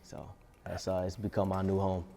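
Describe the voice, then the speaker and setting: A man's voice: low-pitched, completely flat delivery, moderate pace, gravelly, dark tone, long reverb tail, a little hiss or hum. A nineteen-year-old man from Long Island with a slight New York accent, talking casually and slangily as a guest in an interview. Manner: laid-back, slangy, laughs often. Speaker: rapper guest.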